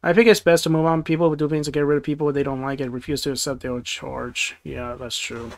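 A man's voice: a little high, little pitch movement, quick pace, gravelly, slight background noise. Rambling young man on a livestream. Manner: rambling, many restarts and chuckles. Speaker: livestream host. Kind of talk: casual livestream chat reading viewer comments. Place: small dim bedroom studio. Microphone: gaming headset.

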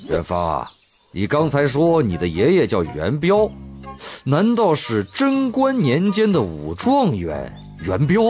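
An actor playing a comic ancient Chinese magistrate, theatrical and grand yet easily excited, swinging from solemn to silly. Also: male voice, medium pitch, a little slow. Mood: starstruck. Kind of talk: comic radio play acting. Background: music behind the voice.

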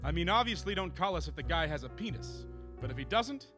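An actor playing a breezy, corrupt police officer in a comic radio play. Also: male voice, on the high side, lively intonation, a little fast, close to an everyday voice. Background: music.

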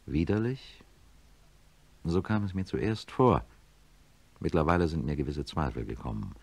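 An actor playing a reflective professor who narrates a radio play in the first person, soberly, in a deep, husky voice. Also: male voice, expressive, measured pace, darkish tone, no echo, full character voice. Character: reflective male professor recounting his patient's story.

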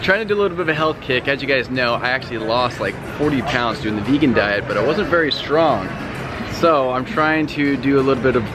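A jokey man in his thirties chats casually on a shopping vlog, laughing often and agreeing along. His voice sits at an average pitch. Clear background music plays under him. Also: a little fast. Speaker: vlogger. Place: car, then grocery store aisles.

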